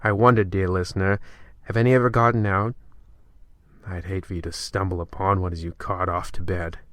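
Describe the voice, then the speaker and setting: Deep, sinister radio horror host. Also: male voice, level tone, a little fast, a bit bright, dry recording. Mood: sly, macabre. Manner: theatrical, ornate, ominous. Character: creepy, gleefully macabre horror-show narrator, theatrical and sinister. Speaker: narrator and host of a radio play. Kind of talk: old-time radio horror parody narration.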